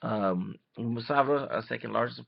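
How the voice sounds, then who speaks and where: A man from Texas giving casual, rambling opinion commentary into a webcam, halting and often repeating or correcting himself. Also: mid-range voice, creaky. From Texas, United States. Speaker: vlogger. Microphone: webcam microphone. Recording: dull lo-fi webcam audio.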